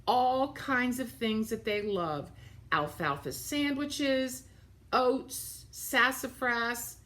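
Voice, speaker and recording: A woman's voice: on the high side, expressive, very slow, a bit bright. A woman reading a picture book aloud to children, expressively, with simple explanatory asides about the pictures.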